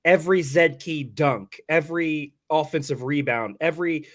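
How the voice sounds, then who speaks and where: A hoarse-voiced man hosting a sports podcast, breaking down a game casually and candidly with some mild swearing. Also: a little high, normal speed, fairly bright tone.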